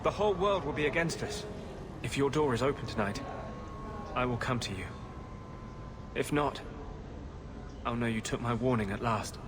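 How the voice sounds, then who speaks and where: A man plays an earnest, tormented rebel mage from a fantasy game. He speaks with brooding intensity at a medium pitch, over clear background noise. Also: moderate pace, pauses often, close to an everyday voice. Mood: anguished, passionate. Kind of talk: fantasy video game acting.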